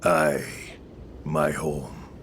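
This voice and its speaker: Very slow, majestic god. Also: male voice, rumbling low, flat delivery, a bit bright, slight background noise, full character voice. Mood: solemn. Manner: grand, declamatory. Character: solemn, majestic male god reborn. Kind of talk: video game cutscene acting.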